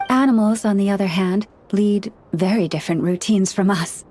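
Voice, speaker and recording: A woman voicing a gentle, earnest young woman who counsels animals in a video game, speaking in a measured, formal way. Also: mid-range voice, a little hiss or hum, lightly acted. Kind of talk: video game character dialogue. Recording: studio voice over background music.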